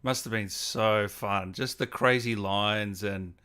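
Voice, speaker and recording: A man's voice: lowish voice, steady tone, takes it slowly, sharp tone. A man with an Australian accent, chatting in an easygoing, reassuring way as an interview host. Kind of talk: casual friendly interview chat.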